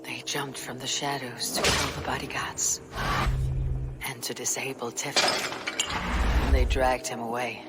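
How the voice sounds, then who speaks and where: A woman playing a bold, blunt Viking warrior chieftain with a measured, declamatory delivery in a dramatic game cutscene. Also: a bass voice, flat delivery, over loud music. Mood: grave, urgent.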